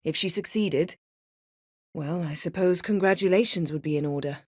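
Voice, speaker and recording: Woman doing a proud sorceress for a game, lowish female voice, cool n clipped, sardonic, dry close recording. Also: normal speed, a little mellow, no echo, natural voice. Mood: cool, composed. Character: proud, commanding sorceress, sharp-tongued and guarded. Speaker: video game character. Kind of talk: scripted game dialogue acting.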